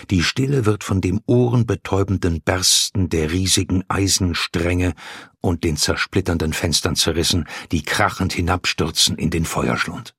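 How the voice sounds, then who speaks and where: A man narrating a literary audiobook in a sonorous, resonant voice, with measured phrasing, well-placed pauses and subtle modulation. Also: low-pitched, fairly bright tone, slightly rough, dry recording, studio quality.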